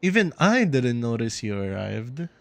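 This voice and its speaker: A man's voice: mid-range voice, big pitch swings, a little slow, vocal fry. A man livestreaming a game, reacting casually and chatting in slangy exclamations. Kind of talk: casual live reaction chat.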